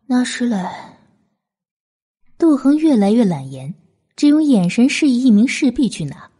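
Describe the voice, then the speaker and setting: A woman narrates a novel from a script. Her voice sits a little high but is very soft and dark, with a breathy husk. She reads as an audiobook narrator. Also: very animated, moderate pace.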